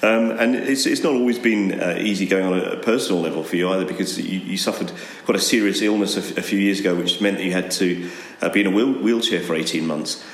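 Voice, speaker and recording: A man's voice: deep voice, fast, hardly any pauses. A man interviewing a guest as a broadcast presenter, affable, with lots of "right" and "hmm". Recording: roomy, with faint background ambience.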